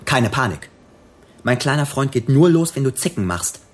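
A man's voice: medium pitch, sing-song, normal speed. A man playing a menacing, volatile armed robber on the run in a radio drama, with a crude, sneering, slangy way of talking.

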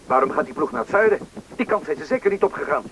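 A man's voice: a little high, expressive, racing, a bit bright, poor audio. A man playing a worried father searching for missing children in a radio play. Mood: impatient. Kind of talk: Dutch radio play acting. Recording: radio play recording, faint noise.

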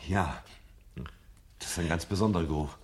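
A male actor plays a troubled, searching husband in a radio drama. His voice sits very low. He talks earnestly and haltingly, as someone unsure of himself and looking for answers. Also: slight background noise, natural voice. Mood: pensive. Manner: hesitant, earnest. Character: troubled, searching married man, former book-burner. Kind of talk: 1970 German radio drama acting.

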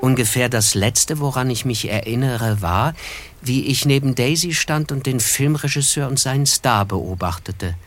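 An actor playing a reflective male narrator in a radio play, measured and literary in manner. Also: mid-range voice, soft background music, close to an everyday voice. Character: reflective male first-person narrator, a writer.

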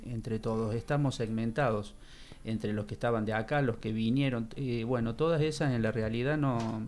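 A man's voice: mid-range voice, normal speed, a little mellow. Earnest Argentine man, political guest. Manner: earnest, repeats words while searching. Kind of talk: radio interview.

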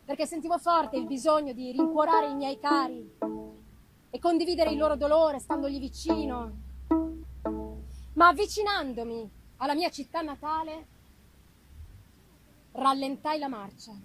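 High-voiced woman reading a gothic novel. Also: moderate pace, pauses often, over music, rough recording. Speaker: reader of a literary audiobook.

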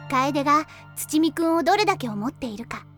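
High, lively, blunt anime schoolgirl. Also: fairly quick, faint music behind. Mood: reassuring. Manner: casual, blunt, breezy. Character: frank, teasing, caring teenage girl, best friend. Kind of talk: anime audio drama acting.

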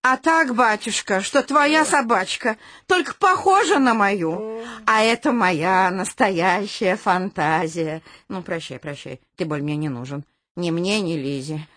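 Imperious old lady, unhurried and theatrical. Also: medium pitch, a bit bright. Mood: dismissive. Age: older. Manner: theatrical, swinging from cooing to bossy. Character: imperious, doting old woman with a lapdog. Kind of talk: comic radio play acting.

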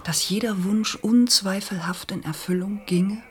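A woman acts an alluring, dreamlike opera singer in a radio play. She speaks slowly, in a breathy half-whisper, with mysterious, probing phrasing. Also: medium pitch, natural voice. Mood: hushed, enigmatic. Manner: slow, enigmatic, probing. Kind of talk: literary radio play acting.

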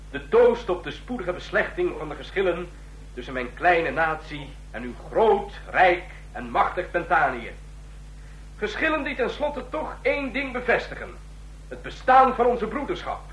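An actor playing a suave, scheming ambassador in a Dutch radio drama, speaking formally and deliberately. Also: male voice, sits high, big pitch swings, fairly bright tone, slight background noise, exaggerated voice, low-quality recording. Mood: grandiose. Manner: formal, deliberate, declamatory when toasting. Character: suave, scheming ambassador of a small authoritarian state.

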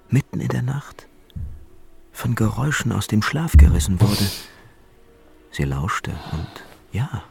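A man narrating a scripted radio drama in a measured storytelling manner. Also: lowish voice, creaky, a little mellow.

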